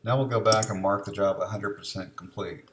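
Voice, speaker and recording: A man giving an informal, unscripted step-by-step software demonstration, explaining each step as he goes. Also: lowish voice, steady tone, fairly quick, very noisy.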